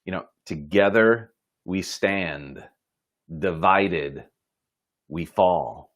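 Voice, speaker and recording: A man telling a motivational story in an informal, folksy and earnest way, pausing for dramatic effect. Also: deep voice. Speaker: host telling a moral story.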